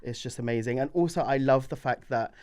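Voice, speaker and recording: A man's voice: medium pitch, fast talker, a little mellow, clear, resonant tone. A man co-hosting a podcast, chatting casually and reflectively, sometimes trailing off mid-sentence. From grew up in the Middle East and the Philippines. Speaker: podcast co-host. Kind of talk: casual chat.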